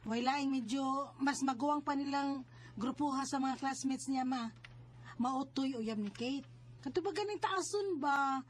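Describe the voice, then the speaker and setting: A woman's voice: high-pitched, measured pace. A woman with a Cebuano Filipino accent playing a protective, scolding married older sister in a radio drama. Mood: gossipy, concerned. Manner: nagging, emphatic. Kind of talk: Bisaya radio drama acting.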